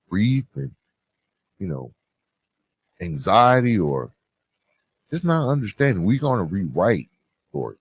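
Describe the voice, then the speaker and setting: A man's voice: deep voice, husky. A man hosting a podcast, giving motivational advice in an earnest, conversational monologue, repeating phrases for emphasis. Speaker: podcast host. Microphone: close podcast microphone.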